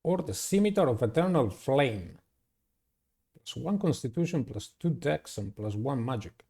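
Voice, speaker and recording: A man doing a playthrough of a visual novel, reading it aloud and commenting in a deliberate, halting way. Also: mid-range voice, animated, dark tone, husky. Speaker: gamer playing and narrating a visual novel. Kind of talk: dramatic read-aloud with casual playthrough commentary.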